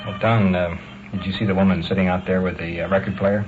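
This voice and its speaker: A man's voice: low-pitched, flat delivery, fast, highs cut off, over music. An American man, a police sergeant, talking on the job in a 1950s radio field recording. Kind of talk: unscripted on-the-job talk.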